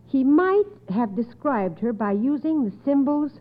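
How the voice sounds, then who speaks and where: A woman's voice: high-pitched, animated, unhurried. A woman hosting a radio quiz in a precise, formal, encouraging manner. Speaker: quiz show host. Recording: tinny, narrow old radio broadcast.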